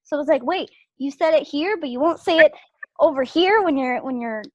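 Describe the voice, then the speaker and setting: Woman in an online group chat. Higher-pitched voice, casual, dry but slightly muffled call audio. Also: brisk, darkish tone, no room sound. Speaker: participant giving script feedback. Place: indoors on a video call. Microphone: video call microphone.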